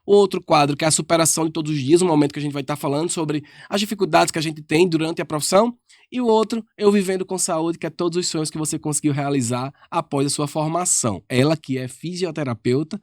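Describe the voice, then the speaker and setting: A man's voice: a little high, fast talker, no echo, clean studio sound. A man hosting a podcast interview, friendly and affectionate, often checking in with listeners with short tags. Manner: friendly and affectionate, ends many lines with "tá?". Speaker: podcast host.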